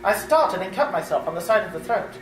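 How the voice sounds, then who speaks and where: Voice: medium pitch, expressive, fast talker, faint music behind, natural voice. An actor playing an earnest, frightened English traveller held prisoner, telling the tale dramatically in an old-time radio drama. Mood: haunted, fearful. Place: live performance at a public library. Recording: roomy, distant, noisy live recording.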